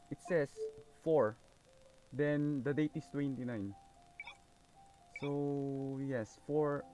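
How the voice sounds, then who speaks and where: A man talks casually to his game livestream. His voice is soft-edged and dark at a medium pitch, informal and halting, with faint music behind. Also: low-quality recording. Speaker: game streamer.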